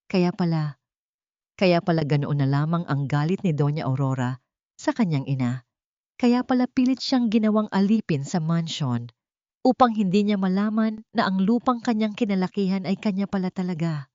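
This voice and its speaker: A woman's voice: lowish voice, animated, normal speed, warm tone, no echo, highs cut off. A woman reading a dramatic story as a scripted audiobook narration.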